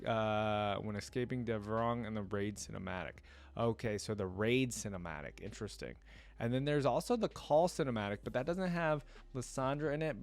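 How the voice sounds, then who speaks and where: A man reacting casually to game lore as a video creator, reading passages aloud and adding musing, think-aloud asides. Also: fairly low pitch.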